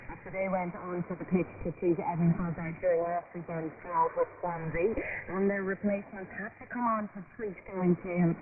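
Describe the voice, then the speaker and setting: A male sports reporter with a high voice reads scripted news. The sound is squeezed into a narrow radio band, with clear static noise around it. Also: recorded from a distance. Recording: noisy, narrow-band shortwave radio broadcast. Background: clear shortwave radio noise.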